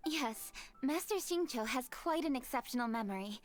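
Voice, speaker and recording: A woman's voice: high voice, lively intonation, normal speed, faint music behind. A woman voicing a composed, diligent young noblewoman in a video game, formal, articulate and decisive. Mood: composed, businesslike.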